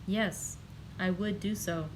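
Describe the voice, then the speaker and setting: A woman voicing a calm, commanding nobleman who speaks slowly, quietly and with measured firmness in an audiobook. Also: mid-range voice, slight background noise, natural voice, poor audio. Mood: firm. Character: calm, commanding older nobleman, gently stern. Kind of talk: audiobook character reading.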